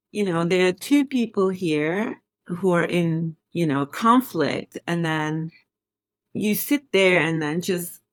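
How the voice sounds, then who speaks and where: Woman, forties, from Turkey, scientist guest, low pitch, thoughtful, frequent fillers. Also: a bit bright, no echo. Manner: thoughtful, many fillers, laughs easily. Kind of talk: casual interview conversation.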